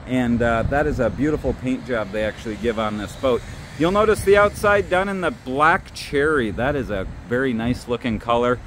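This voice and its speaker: A man's voice: medium pitch, lively intonation, moderate pace, a bit bright, slight background noise. A man walking through a boat on a dealership lot outdoors, upbeat and listing features one by one. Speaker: boat salesman giving a walkthrough. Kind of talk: product walkthrough.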